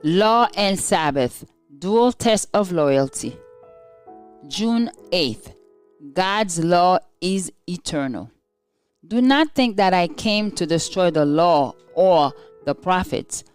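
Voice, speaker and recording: Slow, smooth-voiced woman reading a devotional. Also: lowish voice, expressive, faint music behind. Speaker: devotional reader.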